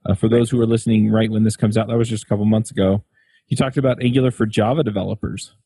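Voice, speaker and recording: A man's voice: lowish voice, level tone, fast, dark tone, slight background noise. A man hosting an interview podcast, talking casually and using colloquial, folksy phrasing. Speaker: podcast host. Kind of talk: casual podcast interviewing and scripted sponsor read.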